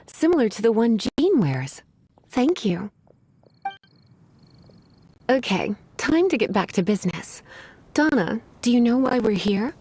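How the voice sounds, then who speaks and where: A coy, sultry librarian from an anime game. Her fairly high voice lilts widely with a teasing languor and a menacing edge, over faint music. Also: darkish tone. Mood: teasing, flirtatious.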